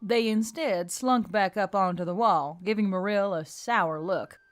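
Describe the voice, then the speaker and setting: A woman's voice: mid-range voice, a little slow. A woman reading scripted fantasy fiction aloud as the narrator. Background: faint music.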